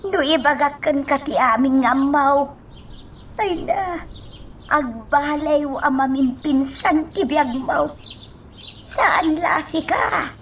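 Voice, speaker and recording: Troubled female servant, high voice, ominous, very slow delivery, radio drama. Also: slight background noise. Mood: distressed, pleading.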